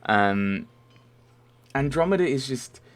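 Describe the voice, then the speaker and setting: A man's voice: mid-range voice, expressive, slow pace. A young man from Merseyside answering viewer questions in a casual, rambling voice-over, with many ums and self-corrections. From Merseyside, England. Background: faint game music.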